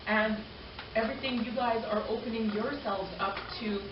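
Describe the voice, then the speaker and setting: A woman in her forties from Canada talks earnestly to a gathering in a slightly high voice. She repeats phrases for emphasis. She sounds distant in an echoey room, with a dull recording and noise behind her. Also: steady tone, normal speed. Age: 40s. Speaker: panel speaker. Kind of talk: personal talk to a gathering. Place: community arts event hall.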